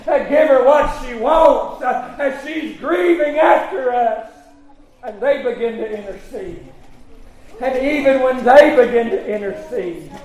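A male preacher delivering an impassioned revivalist sermon, emphatic, stretching out words and punctuating phrases with "uh". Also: extremely high pitch. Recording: echoey, roomy live sound.

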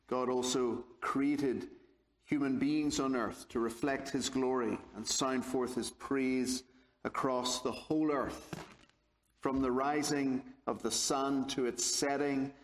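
A man from Northern Ireland with a Belfast accent, giving a theology lecture in a measured, deliberate way with touches of dry humor. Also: mid-range voice. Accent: Belfast, Northern Irish. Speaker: guest lecturer. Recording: echoey lecture hall.

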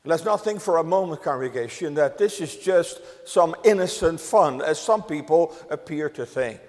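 An older man from the Netherlands preaching a sermon to a congregation, measured, with emphatic rises on key words. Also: fairly high pitch, lively intonation, big-room sound. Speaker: pastor.

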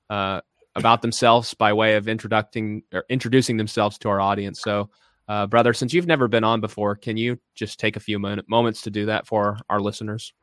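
A man's voice: on the low side, fairly bright tone, no echo, clean studio sound. A man hosting a podcast interview, welcoming his guest in a friendly, formal way with many fillers. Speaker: podcast host.

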